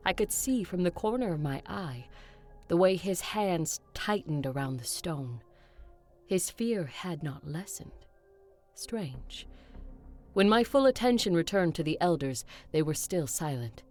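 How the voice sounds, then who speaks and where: A woman playing a solemn, determined, centuries-old vampire leader in an audio drama, measured and earnest. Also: lowish voice, lively intonation, darkish tone, soft background music. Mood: hushed, reflective. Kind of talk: dramatic acting with first-person narration.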